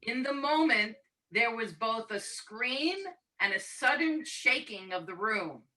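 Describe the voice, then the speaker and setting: A woman with a very bright, forward voice leads an improvised spooky story. She sounds set back from the microphone on a video call. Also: a little high, lively intonation, takes it slowly, slight background noise. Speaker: host of a storytelling game. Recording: video call, distant from mic.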